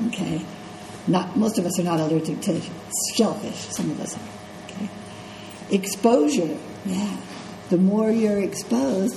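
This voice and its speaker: A woman's voice: lowish voice, mellow, slight background noise. A middle-aged woman with a congested voice, giving a conversational classroom lecture as a nursing instructor and checking in with her students as she goes. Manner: conversational, checks in with "okay?" and "right?". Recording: echoey classroom with faint ambience.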